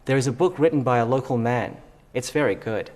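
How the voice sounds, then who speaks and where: A man's voice: mid-range voice, fairly quick, darkish tone, natural voice. An actor playing a helpful male local who tells a tourist about a castle.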